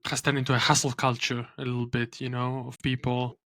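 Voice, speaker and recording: A man hosting a podcast, speaking in a measured, slightly halting way with fillers. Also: mid-range voice, level tone, a bit bright, no echo. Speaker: podcast host. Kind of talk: casual podcast chat.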